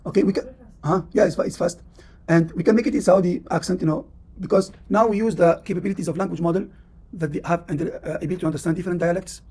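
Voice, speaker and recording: A man's voice: sits high, fairly even intonation, quick pace, warm tone, husky. A man with a Tunisian accent, a professor giving a classroom lecture and explaining at length with many "uh"s and "you know"s. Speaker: university professor.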